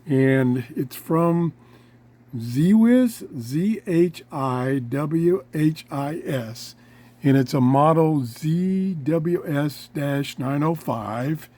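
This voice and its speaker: A man with a relaxed, mellow voice set a little higher than most men's presents a casual hands-on product demonstration. He speaks slowly, in halting short pieces, pausing to think as he goes, like the host of a homemade review show.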